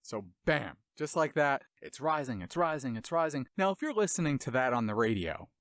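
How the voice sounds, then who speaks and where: A man's voice: medium pitch, big pitch swings, moderate pace, fairly bright tone, no echo, clean studio sound. A man hosting a video essay with casual analytical commentary, dramatic emphasis and colloquial asides.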